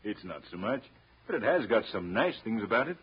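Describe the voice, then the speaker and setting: An actor playing a suave young riverboat player in a 1930s radio drama, speaking softly and courteously. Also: male voice, lowish voice, very animated, fairly quick, crowd noise. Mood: polite, guarded. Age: young adult. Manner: soft, courteous, smooth-talking. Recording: dull 1930s radio broadcast, music behind.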